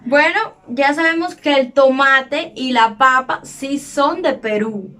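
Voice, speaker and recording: A woman's voice: high voice, takes it slowly, a crowd in the background. A woman co-hosting a reaction video, chatting casually and thinking aloud. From Cuba.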